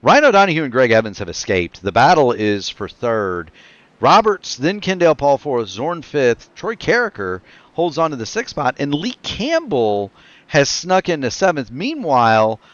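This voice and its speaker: A man calling a virtual stock car race as play-by-play commentator, quick and excitable on the action, his voice getting tired. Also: mid-range voice, dry recording, lo-fi sound. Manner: rapid excited play-by-play, self-correcting. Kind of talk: sim racing commentary.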